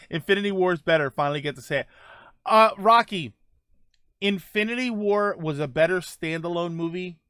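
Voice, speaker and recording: A man hosting a livestream, chatting casually with viewers in a halting way, often restarting his phrases. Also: high voice, sharp tone, clear, resonant tone, no room sound, studio quality. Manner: halting, repeats phrase openings. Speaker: livestream host. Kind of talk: casual chat with live viewers, gaming and film talk.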